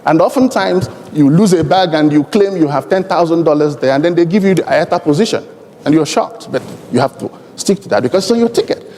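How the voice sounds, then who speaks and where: A man with a Nigerian accent and Igbo as his native language, speaking deliberately and emphatically in elaborate formal phrases on a conference panel. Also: on the high side, lively intonation, mellow, slight background noise. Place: large conference hall. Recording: long hall reverb from conference PA.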